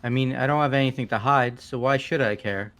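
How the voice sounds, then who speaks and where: A man's voice: medium pitch, fairly quick, fairly bright tone, natural voice. A man voicing a flustered, easygoing young man who gives in easily, in a fan-voiced visual novel game. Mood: flustered, resigned.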